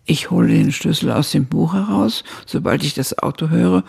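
An actress playing an elderly woman who recalls her youth, narrating a radio drama in a measured, reflective way with a gravelly, husky voice. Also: deep voice, animated, a little mellow, full character voice. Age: older.

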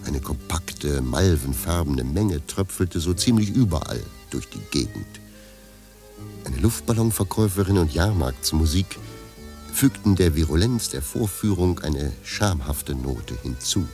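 A man giving scripted literary narration for a radio play in a measured, deadpan manner. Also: very deep voice, soft background music.

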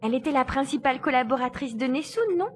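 A woman's voice: high-pitched, fast talker, slight background noise, close to an everyday voice. A woman acting a bold, decisive young girl adventurer in a French audio drama. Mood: pensive.

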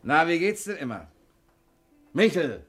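Roguish runaway sailor guy, 1960s radio drama, teasing and chummy, pitch jumps around a lot. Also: big pitch swings, unhurried, fairly bright tone, faint music behind. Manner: teasing, conspiratorial, casual. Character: roguish, sly adventurer and ex-sailor on the run.

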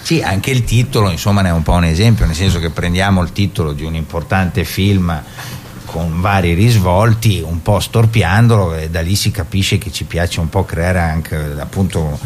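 A man's voice: low voice, moderate pace, a little hiss or hum. A man being interviewed as an actor, chatting casually and wryly, tossing in quips. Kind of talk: casual joking interview chat.

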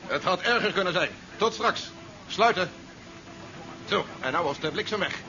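An actor playing a cautious, commanding army general giving curt orders in a 1970s science-fiction radio play. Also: male voice, sits high, expressive, moderate pace. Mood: businesslike. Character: commanding, cautious army general running a space capsule recovery. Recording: dull 1970s radio recording, engine noise behind.

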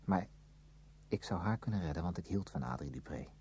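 A brooding man burdened by guilt, with a low, mellow voice. He speaks in a measured, inward, hesitant way, as in a confessional radio play. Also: animated, a little hiss or hum. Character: brooding, guilt-ridden man narrating his past, protective. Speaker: narrator and lead character. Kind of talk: Dutch radio drama acting, confessional narration.

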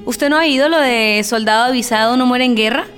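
A woman's voice: a little high, brisk, a bit bright, natural voice. A woman playing a defiant, sardonic evictee in a radio play. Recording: music running under the voice.

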